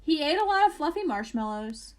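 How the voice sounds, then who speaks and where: A woman reading a children's picture book aloud as a bedtime story, with expressive emphasis and casual asides. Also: high voice, brisk.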